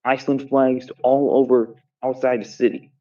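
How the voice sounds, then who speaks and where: Curious friend on the call, muffled and far from the mic. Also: male voice, mid-range voice, little pitch movement, moderate pace, mellow. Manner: curious, asks lots of questions, repeats himself. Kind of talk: casual chat.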